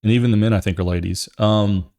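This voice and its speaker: A man's voice: fairly low pitch, steady tone, fast talker, a little mellow. A man hosting a gaming show, giving casual, opinionated commentary in a rambling, emphatic way and often repeating his phrases.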